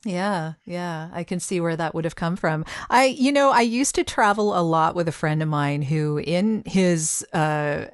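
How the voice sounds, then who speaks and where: A woman's voice: lowish voice, measured pace, few pauses, clear, resonant tone, no room sound, clean studio sound. A woman chatting casually as the host of an interview podcast.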